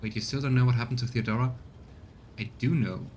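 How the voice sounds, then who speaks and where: A man's voice: medium pitch, steady tone, brisk, darkish tone, slight background noise. A man casually commentating on a video game, musing aloud and chatting with his viewers as he plays. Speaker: streamer doing a voiced let's play.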